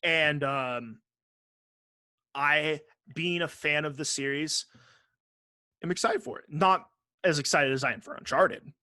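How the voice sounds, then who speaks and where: A man with a slightly high-set voice talks as a podcast host. He is casual and slangy, swears freely and states opinions with emphasis. The sound is dry and close. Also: unhurried, pauses often, sharp tone, dry recording. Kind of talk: casual podcast chat about movies and games.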